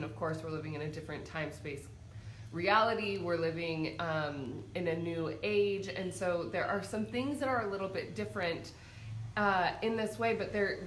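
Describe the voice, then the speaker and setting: Soft-spoken psychic lady, bit stuffy from a cold, echoey room, faint hum behind. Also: lowish voice. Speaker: psychic and meditation host. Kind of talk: casual livestream talk.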